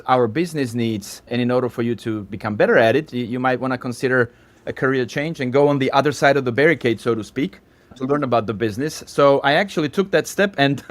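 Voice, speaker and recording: A man in his fifties from Slovakia with a Slovak accent, a podcast guest who explains at length with asides. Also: medium pitch. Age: 50s. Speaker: guest, startup founder. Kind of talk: podcast interview.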